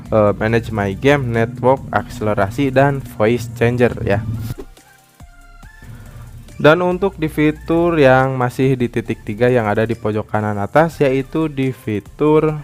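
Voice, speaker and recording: Male tutorial host, mid-to-low voice, methodical instructional delivery over background music. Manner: step-by-step explaining, repetitive phrasing. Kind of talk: phone app tutorial narration.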